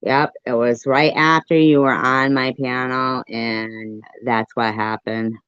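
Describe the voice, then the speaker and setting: Slow-talking woman, low-fidelity stream. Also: fairly even intonation, fairly bright tone, clean tone, dry recording. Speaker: live stream host and commentator. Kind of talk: casual reaction commentary to live chat. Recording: dull lo-fi live stream audio.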